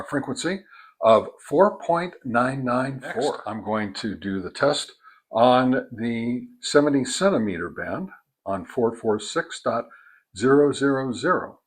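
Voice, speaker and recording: A man giving an informal product test and demonstration, explaining methodically in a halting way. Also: mid-range voice, slightly rough. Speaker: product reviewer, host.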